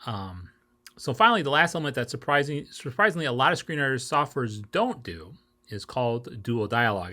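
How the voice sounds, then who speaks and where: Guy doing a casual how-to video, medium pitched voice, kinda halting, trips over words and fixes them. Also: bright, forceful tone. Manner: halting delivery with stumbles and self-corrections. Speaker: video tutorial host. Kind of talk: informal explainer tutorial. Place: small home office with bookshelves.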